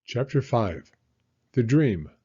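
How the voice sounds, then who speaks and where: A middle-aged man reading a children's story with lively, varied pitch. His voice is slightly husky and the recording a little dull. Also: takes it slowly. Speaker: children's storyteller, elementary school teacher. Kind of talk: expressive children's story narration.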